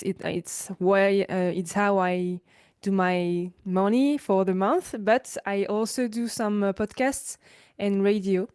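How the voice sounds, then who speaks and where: A woman's voice: mid-range voice, darkish tone, clear, resonant tone, no room sound, studio quality. A woman from France with a strong French accent, a panelist introducing herself on a video call, hesitant and searching for words in English. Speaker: sex educator, panelist.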